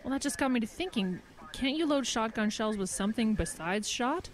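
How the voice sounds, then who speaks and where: A woman's voice: a little high, expressive, a little fast, close to an everyday voice. A woman acting a thoughtful, haunted survivor in a horror audio drama, speaking in a reflective, tentative way. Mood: curious, reasoning.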